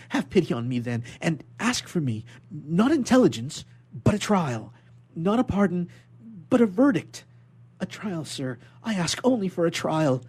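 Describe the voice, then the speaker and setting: A man's voice: high-pitched, a little mellow, husky, pitched above the performer's own voice, exaggerated voice. A man voicing a desperate young sailor held prisoner, pleading earnestly and politely in a dramatic audiobook reading. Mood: urgent pleading. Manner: impassioned, earnest, imploring, formally polite.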